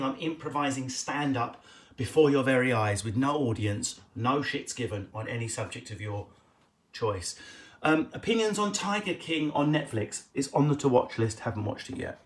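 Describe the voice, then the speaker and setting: A man with an Essex accent doing casual improvised stand-up as he answers viewers' questions on a live stream from home, cheeky and quick, with loud bursts and muttered asides. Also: medium pitch. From England. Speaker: comedian hosting a live Q&A stream. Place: at home, indoors.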